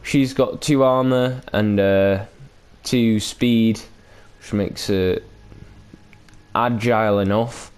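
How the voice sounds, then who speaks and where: Guy doing chill game commentary, slow n rambly, hesitant, clean clear voice, kinda sweary. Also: on the low side, steady tone, darkish tone. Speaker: gaming video host. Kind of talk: casual unscripted game commentary.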